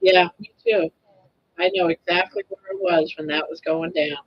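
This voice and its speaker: A woman's voice: low voice, normal speed, muffled recording. A woman in her sixties hosting a casual live stream, chatting in a rambling way and restarting her sentences. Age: 60s.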